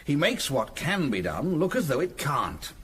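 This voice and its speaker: An actor with a British accent playing a shrewd, patient senior police detective in a radio drama, with a measured, probing and authoritative manner. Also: male voice, fairly high pitch, wildly swooping pitch, fairly bright tone, breathy edge, heavily acted. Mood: deducing, assured.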